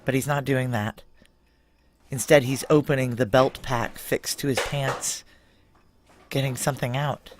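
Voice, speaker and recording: A woman's voice: extremely low pitch, fairly even intonation, measured pace, pauses often, noisy background. Blunt, guarded woman veteran. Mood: intimate narrating. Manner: blunt, sardonic, profane. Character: tough, guarded, self-mocking military veteran woman narrating a noir thriller. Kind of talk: audio drama acting with intimate first-person narration.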